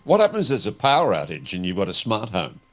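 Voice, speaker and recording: Co-host, male, lowish voice, plain-spoken questioner in relaxed conversational talk. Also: fast talker, soft background music. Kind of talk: conversational discussion.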